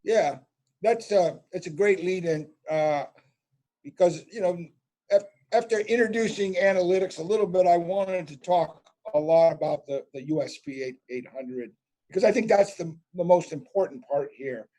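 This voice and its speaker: A man with a fairly high voice that still sounds mellow and soft-edged. He explains things step by step to an online audience, with plenty of "uh" and "you know" as he goes. It sounds like he is speaking over a video call. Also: moderate pace. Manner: explanatory, many fillers and restarts. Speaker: presenter. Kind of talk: webinar presentation.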